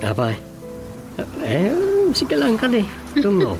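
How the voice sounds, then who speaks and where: An actress with an Ilocano Filipino accent playing a laconic village scriptwriter in a radio drama. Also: female voice, medium pitch, wildly swooping pitch, slow pace, vocal fry, exaggerated voice. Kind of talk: radio drama comedy acting. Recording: loud music and rain effects behind.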